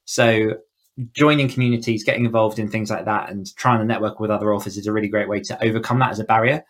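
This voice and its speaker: Low-voiced man explaining as interview guest. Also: fairly even intonation, quick pace. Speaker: guest author and writing coach.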